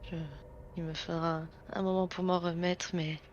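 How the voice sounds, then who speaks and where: A woman's voice: lowish voice, level tone, moderate pace, a little hiss or hum, natural voice. A woman voicing a shaken, injured young woman who stammers and falters, on a dull lo-fi mic.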